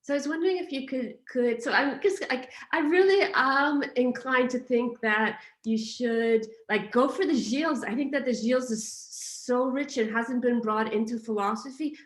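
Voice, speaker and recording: A woman thinking aloud in an academic discussion, halting and often interrupting herself as she puts a point. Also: fairly high pitch, echoey room. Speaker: audience questioner at an academic Q&A.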